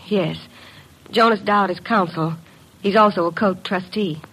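Radio drama ingenue: anxious, earnest secretary, mid-pitched with a breathy husk, courteous and hesitant. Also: female voice, unhurried, fairly bright tone, low-quality recording. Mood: pleading, disappointed. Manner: polite, hesitant. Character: earnest, worried young female secretary. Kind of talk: classic radio drama acting.

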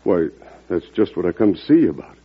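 A male actor playing a humble, plainspoken farmer in an old-time radio drama, talking in a halting, deferential, folksy way. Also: low-pitched, big pitch swings, breathy edge, full character voice. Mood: humble, hesitant. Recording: tinny, band-limited vintage radio recording.

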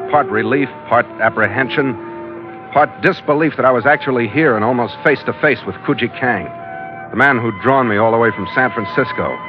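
A man's voice: fairly low pitch, lightly acted. Guy acting as tough tired sea captain reading his log, old muffled radio drama, music behind. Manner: terse, hard-boiled narration.

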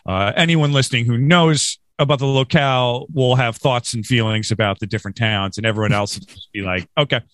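A man's voice: medium pitch, steady tone, a little fast, few pauses, fairly bright tone, no echo. A man from Western New York, chatting as a podcast guest in a rambling, self-deprecating way with frequent laughs. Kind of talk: casual interview chat.

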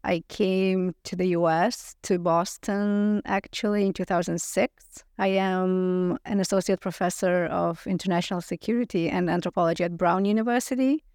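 Middle-aged woman from lithuania, gravelly voice, earnest explaining, dry close sound. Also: fairly low pitch, steady tone, normal speed, hardly any pauses, darkish tone, no room sound. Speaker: guest, anthropology professor. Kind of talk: interview answers.

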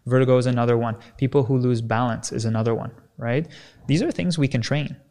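A man speaking as a kinesiologist guest on a podcast, explaining in an energetic, teaching way and often checking in with "right?". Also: mid-range voice, fast talker.

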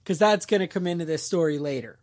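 A man co-hosting a podcast, telling a story in casual chat with a wisecracking, lively manner and quick put-on voices. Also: a little high. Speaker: podcast co-host.

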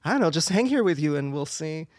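A man's voice: on the high side, lively intonation, fast, a little mellow, no echo. A man from Israel, a native Hebrew speaker, chatting casually as an interview guest, rambling and searching for words. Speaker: interview guest, singer and performer. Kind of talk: casual chat.

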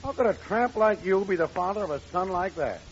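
A muffled, slightly noisy radio recording of a gruff watchman. His voice is fairly high and very animated. He talks bossily, like a street cop in a 1940s comedy. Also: brisk. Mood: stern. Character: gruff, bossy male watchman taken for a cop.

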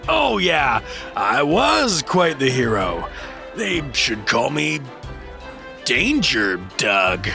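A man's voice: mid-range voice, wildly swooping pitch, extremely slow, vocal fry, pushed and bright, faint music behind, exaggerated voice. A man playing a goofy, boastful, drunken adventurer in a fantasy audio drama, slurring and muddling his words. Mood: tipsy, cheerful.

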